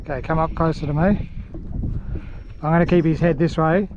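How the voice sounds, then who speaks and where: A man's voice: fairly high pitch, steady tone, normal speed. A middle-aged man giving casual, chatty commentary while fishing from a small open boat outdoors, filmed on an action camera. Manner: chatty, coaching, hushed asides. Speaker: fishing vlogger. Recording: muffled with loud wind and water noise.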